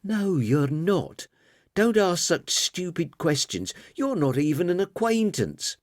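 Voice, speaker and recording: A man voicing a moody, tired, snappish young girl in a breathy, childlike manner for an audiobook. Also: on the high side, big pitch swings, slow pace, dry recording, studio quality, pitched above the performer's own voice. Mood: curt, snappish.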